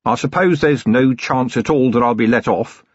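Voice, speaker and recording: A man voicing a gruff, weary, middle-aged city police commander, sardonic and full of complaint, for an audiobook. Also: mid-range voice, moderate pace, dry recording, dull audio, voice pushed up, close to an everyday voice. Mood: gruff, aggrieved.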